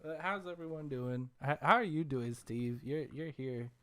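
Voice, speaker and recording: A man's voice: fairly high pitch, moderate pace, a bit bright, smooth. A man hosting a podcast in an easygoing, casual chatty style. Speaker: podcast host. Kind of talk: casual group chat and sponsor reads.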